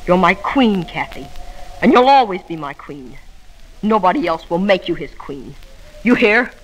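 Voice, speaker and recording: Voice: medium pitch, sing-song, unhurried, rough recording. A performer playing a sullen, proud, vengeful young boy in a 1940s radio drama. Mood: sullen, intense.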